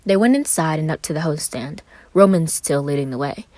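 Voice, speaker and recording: A woman reading fan fiction aloud as an expressive storyteller. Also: sits low, fairly quick, no room sound.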